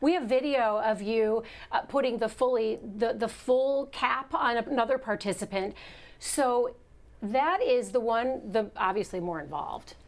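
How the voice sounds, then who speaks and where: A woman hosting a health show, chatting with a guest and narrating a report. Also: fairly high pitch. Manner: upbeat, chatty, quick reactions. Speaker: host. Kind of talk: conversational interview and scripted narration.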